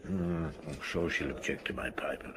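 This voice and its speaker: An actor playing an older, wry, unhurried police chief inspector in a radio drama, musing and gently probing with hums and pauses. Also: male voice, a bass voice, steady tone, a crowd in the background. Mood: dry, genial.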